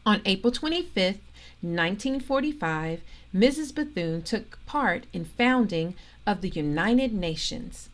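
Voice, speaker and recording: Female storytime reader, mid-pitched, unhurried and expressive, phrasing carefully for young listeners. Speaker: storytime reader and host, organisation president. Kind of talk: reading a children's biography aloud.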